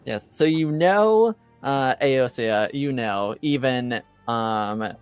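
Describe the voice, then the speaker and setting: Man, unhurried pace, middle pitch, game master narration, light music behind. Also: expressive, clean tone, dry recording. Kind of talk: running a tabletop roleplaying game. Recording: background music.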